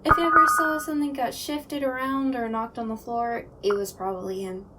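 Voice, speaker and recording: A woman's voice: on the high side, fairly even intonation, a little fast, recorded from a distance, heavy background noise, lightly acted. A woman playing a goofy, playful ghost who loves puns in an audio roleplay, chirpy and eager. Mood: chatty, eager.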